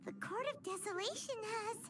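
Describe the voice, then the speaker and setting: A squeaky tiny fairy companion. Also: female voice, normal speed, over loud music. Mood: curious, concerned. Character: tiny, childlike female fairy companion, earnest and inquisitive. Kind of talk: video game voice acting.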